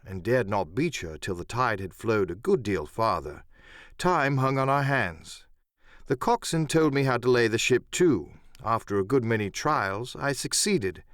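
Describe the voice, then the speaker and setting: Animated male audiobook narrator, dry sound. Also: medium pitch, no echo. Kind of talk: dramatic first-person scripted narration of a sea adventure.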